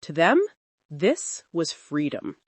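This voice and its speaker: A woman storyteller giving an expressive, suspenseful narration of a scripted dramatic folktale. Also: deep voice, slow, breathy edge, no echo.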